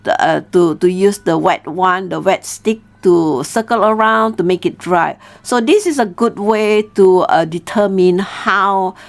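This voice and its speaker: A woman's voice: mid-range voice, measured pace, gravelly, few pauses. A woman tells a story as an informal lesson, explaining didactically and often checking in with "okay?". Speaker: storytelling teacher and host.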